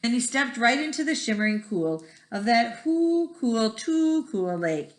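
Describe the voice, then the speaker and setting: A woman's voice: a little high, very animated, measured pace. A woman reading a children's picture book aloud expressively, with a rhythmic delivery that draws out repeated words. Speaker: read-aloud storyteller. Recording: echoey room.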